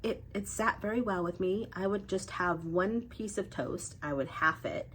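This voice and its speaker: A woman's voice: lowish voice, expressive, moderate pace, fairly bright tone, slight background noise, low-quality recording. A young adult woman with cold congestion, giving a chatty weight loss update to her viewers. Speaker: vlogger.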